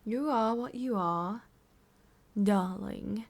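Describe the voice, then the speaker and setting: A woman with an English accent playing a menacing, mockingly tender female boss of demons in an ASMR roleplay, breathy and often whispering, with a taunting, condescending manner. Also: medium pitch. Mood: sadistic, threatening. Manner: slow, taunting, condescending, with pauses and sighs.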